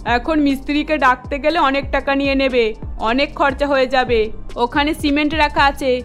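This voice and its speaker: A woman's voice: high-pitched, fairly even intonation, normal speed, a bit bright, soft background music. A woman playing a bossy, scolding middle-aged mother-in-law in a cartoon, nagging and commanding. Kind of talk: cartoon voice acting.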